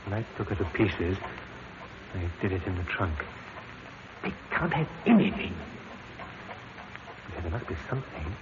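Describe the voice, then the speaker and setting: An actor playing a nervous, stammering murder suspect in a vintage radio drama. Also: male voice, low voice, big pitch swings, normal speed, long pauses, big-room sound. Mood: anxious muttering. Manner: fretful, stammering, self-justifying. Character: nervous middle-class murder suspect, guilty, indignant. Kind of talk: 1950s radio drama acting. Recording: tinny noisy old radio broadcast.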